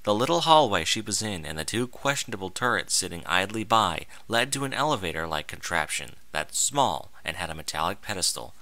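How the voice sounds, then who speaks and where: A man's voice: sits low, big pitch swings, a little fast, hardly any pauses, sharp tone. A man narrating a scripted story in a theatrical, dramatic manner.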